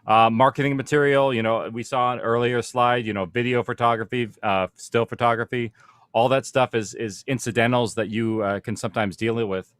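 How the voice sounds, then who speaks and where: A man's voice: medium pitch, fairly even intonation, moderate pace, a bit bright, clear, resonant tone, no room sound, clean studio sound. A man speaking as a producer on a panel, explaining practical matters informally and conversationally, thinking aloud as he goes.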